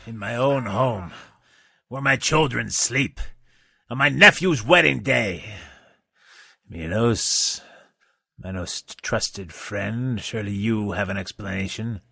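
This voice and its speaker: An actor playing a commanding, cold older crime boss in a comic-book audio drama, gravelly and imperious. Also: male voice, on the low side, sharp tone, no room sound, clean studio sound, heavily acted. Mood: indignant. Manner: deliberate, imperious.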